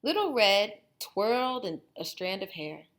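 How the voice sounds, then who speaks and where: A woman's voice: mid-range voice, sing-song, a little slow, fairly bright tone. A woman reading a storybook aloud as a teacher would, expressively, with brief asides to her listeners.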